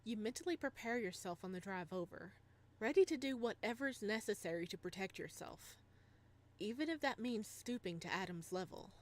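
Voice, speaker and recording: A woman's voice: medium pitch, expressive, normal speed. Bubbly woman livestreamer, casual and chatty. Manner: bubbly, giggly, rambling reactions to chat and story. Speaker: livestreamer and host. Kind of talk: casual livestream chat and reading a visual novel aloud.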